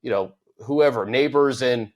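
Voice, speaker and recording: A man with an ordinary mid-range voice hosts a casual sports chat. He talks quickly and conversationally, picking up his co-host's points and running with them. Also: medium pitch. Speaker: podcast host.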